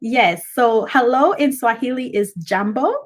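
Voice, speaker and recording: Kenyan woman guest, Kikuyu first language, higher pitch, chatty and explanatory, many ums. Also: measured pace. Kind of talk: casual interview.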